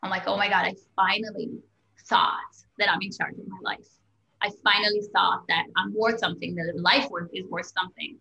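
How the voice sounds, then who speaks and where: Woman from israel telling her story, lots of ums and restarts, bright tone, roomy echo. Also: mid-range voice, steady tone, moderate pace, gravelly. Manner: open, reflective, many fillers and restarts. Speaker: guest. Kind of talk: personal story in an interview.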